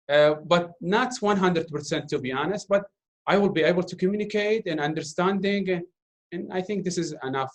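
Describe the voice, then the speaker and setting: A man in his thirties, a native Arabic speaker from Iraq, answering interview questions in halting English full of fillers and restarts. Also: a little high. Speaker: interview guest, doctoral student. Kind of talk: casual Q&A talk.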